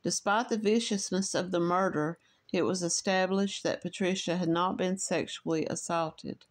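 A woman with an Appalachian accent reading a true crime story in a measured, slightly halting way, stopping to share her own thoughts. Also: on the low side, steady tone. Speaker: true crime podcast host.

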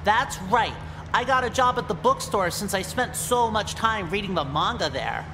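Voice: medium pitch, animated, moderate pace, in a large hall, noisy background, natural voice. A performer voicing a smug, defensive nerdy young man in a visual novel game, with a whiny delivery. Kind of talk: voiced visual novel acting.

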